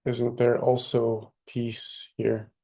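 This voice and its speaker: Guy leading a meditation, flat calm delivery, short phrases w long pauses, lots of vocal fry. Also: medium pitch. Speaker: meditation teacher. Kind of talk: guided meditation.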